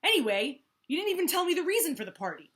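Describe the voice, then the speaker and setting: A shrill, whining storybook jackal, sulky and jealous, forever complaining that he gets left out, as a woman puts him on. The voice sits high and sharp, swooping up into loud, outraged protests. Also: sing-song, fast talker, a little hiss or hum. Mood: whiny, indignant. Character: whiny jealous male jackal, grumbling, always demanding more.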